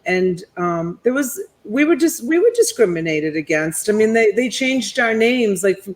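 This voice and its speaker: A woman's voice: medium pitch, animated, moderate pace. A middle-aged woman, born in Italy with Italian as her first language, hosting a casual live chat and telling a personal story in a rambling way, full of fillers and restarts. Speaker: host.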